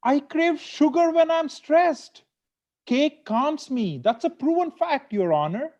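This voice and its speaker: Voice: high voice, lively intonation, takes it slowly, a little mellow, heavily acted. A performer in an amateur table read playing a stressed, sweet-toothed lawyer husband who bickers, wheedles and pleads like he is in court. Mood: craving, pleading. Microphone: video call.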